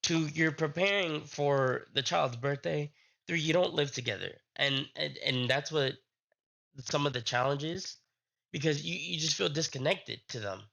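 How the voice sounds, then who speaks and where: A young adult man, sick with a strained voice, chatting casually on a live stream in a halting, rambling way. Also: fairly high pitch, creaky, sharp tone.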